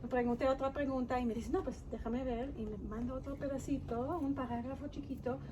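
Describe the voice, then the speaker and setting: Israeli-accented woman author, slightly high, halting delivery, noisy recording. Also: steady tone. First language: Hebrew. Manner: halting, searching for words in Spanish. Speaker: author guest. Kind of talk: conversational interview. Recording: noisy background.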